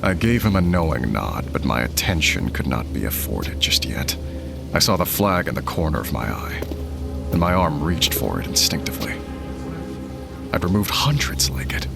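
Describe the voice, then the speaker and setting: An actor playing a weary, hunted rebel leader in an audio drama, grave and guarded, speaking in clipped phrases. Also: male voice, low-pitched, vocal fry, heavily acted. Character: weary, hunted male rebel leader and propagandist, earnest and irritable. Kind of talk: audio drama narration and dialogue. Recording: music underneath the voice.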